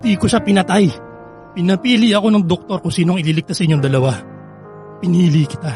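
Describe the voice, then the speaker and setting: A man acting a guilt-ridden young Filipino husband in a radio drama. His voice is high for a man and fast, pleading and full of emotion, with faint music underneath. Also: steady tone, a little mellow, natural voice. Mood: anguished, defensive. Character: grieving, guilt-ridden estranged husband who pleads for forgiveness.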